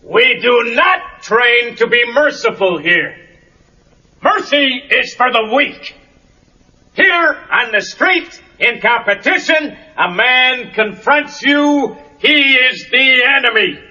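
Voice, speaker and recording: An actor playing a merciless, contemptuous karate sensei with an American accent, commanding and sneering in film dialogue. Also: male voice, extremely high pitch, animated, takes it slowly, sharp tone, exaggerated voice. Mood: fierce. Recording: dull lo-fi cassette tape.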